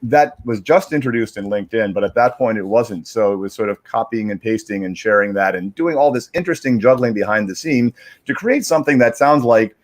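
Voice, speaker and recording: A man chatting casually on a livestream, explaining in a halting, rambling way and repeating words as he searches for phrasing. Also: lowish voice, lively intonation. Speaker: digital media strategist, guest reader.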